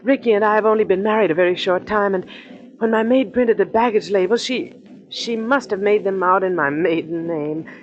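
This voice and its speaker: An actress playing an earnest, insistent young newlywed passenger in a 1940s radio drama, refined and emphatic in delivery. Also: female voice, mid-range voice, a little fast, muffled recording, close to an everyday voice. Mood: anxious, explaining.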